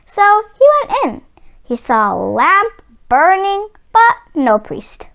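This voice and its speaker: A woman reading a children's story aloud as a host, in an expressive, dramatic way, drawing out words for emphasis. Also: very high voice, slow pace, vocal fry.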